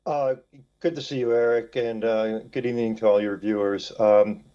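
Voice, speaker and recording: Male expert guest, monotone, lo-fi remote audio. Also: lowish voice, normal speed. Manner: hesitant, filler-heavy, assertive. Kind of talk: news interview.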